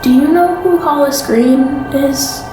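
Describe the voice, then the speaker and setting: A woman playing an uneasy young woman in a naturalistic fiction podcast, speaking haltingly and trailing off. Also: high voice. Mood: ominous, halting. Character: hesitant, uneasy young woman living in a strange house. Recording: roomy echo, music underneath. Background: music.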